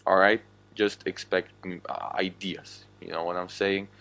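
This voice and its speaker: A man's voice: sits low, little pitch movement, unhurried, fairly bright tone. A young man from Slovakia, a native Slovak speaker with a Slovak accent, hosting his own podcast in a casual monologue and swearing freely. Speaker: podcast host.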